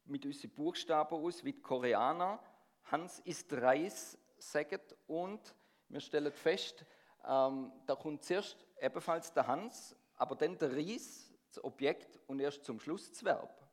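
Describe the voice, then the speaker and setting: A middle-aged man with a Swabian accent softened by Swiss dialect preaches a sermon. His voice sits a little higher than most men's. He keeps turning to the congregation with questions and draws answers out of them. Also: a little slow. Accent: Swabian-tinged Swiss German. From Swabia, near Stuttgart. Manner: engaging, asks the congregation questions.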